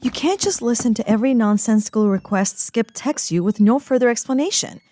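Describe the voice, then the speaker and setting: An actress playing a smug, breezy young agent in training. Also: female voice, a little high, expressive, a little fast, dark tone, slight background noise, natural voice.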